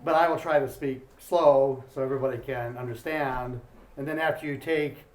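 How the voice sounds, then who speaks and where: A man's voice: medium pitch, steady tone, normal speed, slight background noise. A man from Ohio, the embassy's commercial counselor, giving an informal welcome speech with plenty of "um" and small jokes. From Ohio, US Midwest. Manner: informal, many fillers, self-deprecating jokes. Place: embassy event room. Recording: echoey room.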